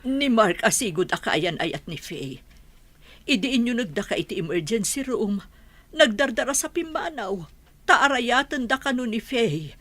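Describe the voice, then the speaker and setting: Woman doing a worried middle-aged mom, radio drama, very lively intonation, dry close sound. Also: on the high side, unhurried, dry recording. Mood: anxious. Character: concerned, earnest mother of a grown daughter.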